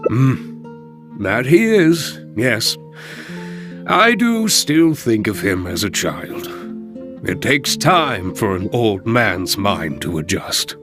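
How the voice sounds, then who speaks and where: A gentle old winery hand in a fantasy game, slow and unhurried, his voice rising and falling warmly as he talks. Game music plays beneath his words. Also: on the low side, big pitch swings. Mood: fond. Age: older. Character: kindly elderly male winery worker. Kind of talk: video game cutscene dialogue. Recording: game audio with background music.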